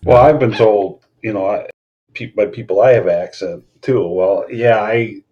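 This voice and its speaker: A man's voice: fairly low pitch, measured pace. A middle-aged man from Chicago with a Chicago accent, calling in over voice chat and chatting in a rambling way, full of restarts. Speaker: caller on a voice chat. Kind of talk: casual chat. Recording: muffled voice-chat audio.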